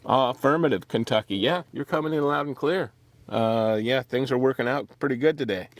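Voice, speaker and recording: A man working a CB radio from a Jeep in the desert, calling out and chatting in a relaxed, hesitant way with many pauses and fillers. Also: mid-range voice. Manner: relaxed, hesitant, lots of uh's. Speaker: CB radio operator. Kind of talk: CB radio calls and chat.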